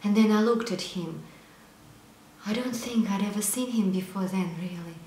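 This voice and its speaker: An actress performing a stage monologue as a young woman haunted by a painful memory, moving between hushed whispers and loud outbursts. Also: mid-range voice, moderate pace, close to an everyday voice. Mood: hushed recollection. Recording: echoey room, faint noise.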